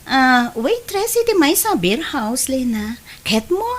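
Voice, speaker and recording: A woman's voice: sits high, very animated, slow, exaggerated voice. A woman with an Ilocano Filipino accent playing a breezy, worldly young beer-house waitress and friend in an audio drama. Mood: coaxing.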